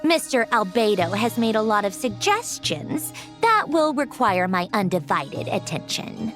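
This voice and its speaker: An actress playing a brisk, sharp-minded young female researcher in a video game. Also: a little high, very animated, music in the background. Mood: brisk, matter-of-fact.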